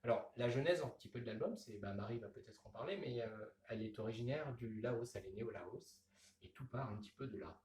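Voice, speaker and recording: A man, a comics author, talking in a radio interview with many pauses and restarts. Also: medium pitch, darkish tone, reverberant, distant mic, a little hiss or hum. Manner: hesitant, many fillers and restarts.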